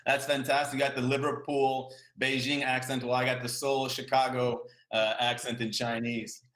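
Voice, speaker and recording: A man hosting a podcast interview in a relaxed, conversational way, with hesitant fillers. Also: mid-range voice, moderate pace. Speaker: podcast host. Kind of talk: casual interview. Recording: echoey room.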